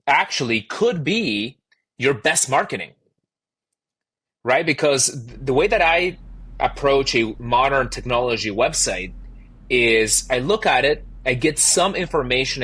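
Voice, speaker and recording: Argentinian-accented founder, husky, lively emphatic guest. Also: male voice, mid-range voice, a little slow. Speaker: startup founder and CEO. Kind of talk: podcast interview answers.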